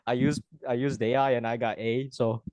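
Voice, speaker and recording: A man's voice: medium pitch, little pitch movement, normal speed, darkish tone, no room sound. A young man who is an IT student and new to debating, from Kalimantan, talking casually in a hesitant, self-doubting way and laughing often. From Kalimantan, Indonesia. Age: young adult. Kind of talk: casual chat.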